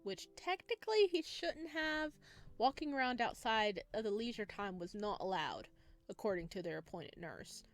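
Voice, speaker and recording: A woman reads visual novel narration aloud as a let's play host, dropping in casual reactions between lines. Her pitch sits in the middle for a woman, and music plays behind her voice. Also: animated, moderate pace. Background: background music.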